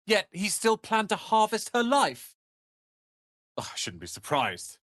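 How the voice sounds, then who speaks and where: A snooty young wizard apprentice for a fantasy game, really animated pitch, touchy and sharp tongued, dry close recording. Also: male voice, measured pace, dry recording, heavily acted. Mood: tense, earnest. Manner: haughty, theatrical, sharp-tongued. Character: proud, irritable young male wizard apprentice, quick to anger. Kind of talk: dramatic fantasy video game acting.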